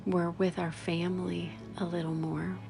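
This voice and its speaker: A woman leading a guided meditation, speaking softly and soothingly in short phrases with long pauses and breathy, whispery endings. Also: lowish voice, little pitch movement. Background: background music.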